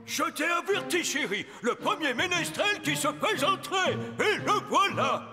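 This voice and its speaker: An imperious cartoon king, pitched extremely high and full of stubborn command. He declaims like an exasperated father laying down the law. The voice rings in a long reverb over clear music. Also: lively intonation, moderate pace. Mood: stern, angry. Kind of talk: dubbed children's fairy-tale cartoon acting.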